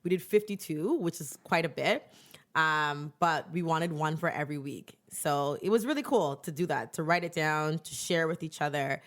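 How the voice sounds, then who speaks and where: Young woman podcast co-host, low-ish voice, lively casual storyteller. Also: moderate pace. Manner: animated storyteller, many "like" fillers. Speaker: teacher. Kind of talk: casual chat.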